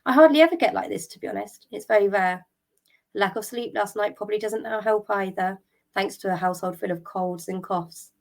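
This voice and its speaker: A middle-aged woman, hosting a solo podcast, a little croaky from a cold, talking openly and a bit wearily, with ums and restarts. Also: mid-range voice, fairly quick. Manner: open and candid, thinking aloud, with ums and restarts; tired and drained. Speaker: podcast host. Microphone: podcast microphone.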